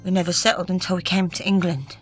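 A woman voicing an anguished, volatile young French man who strains and breaks into shouts. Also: lowish voice, little pitch movement, quick pace, soft background music, lightly acted. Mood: somber.

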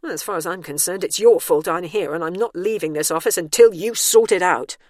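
A woman voicing an indignant, sharp-tongued woman trapped in a retirement complex, talking loud and sarcastic. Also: lowish voice, fairly quick, dry recording, studio quality, close to an everyday voice. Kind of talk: audiobook character voice.

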